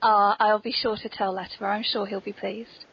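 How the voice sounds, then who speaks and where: A woman's voice: mid-range voice, flat delivery, moderate pace, vocal fry, husky. A woman hosting a polite tea-time podcast conversation. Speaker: podcast host. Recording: dull lo-fi podcast recording.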